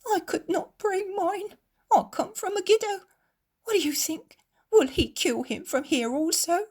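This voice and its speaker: A woman's voice: unhurried, a little mellow. A woman reading a story aloud, voicing a desperate mother who cries and wails for her dying son. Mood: pleading. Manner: wailing, high and broken. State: crying. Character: desperate mother from far away, weeping.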